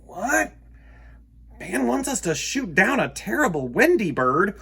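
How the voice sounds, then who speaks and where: A man voicing excitable, gullible young boys in a storybook, calling out eagerly. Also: high-pitched, a little slow, gravelly, slight background noise, voice pushed up, full character voice. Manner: shouting eagerly.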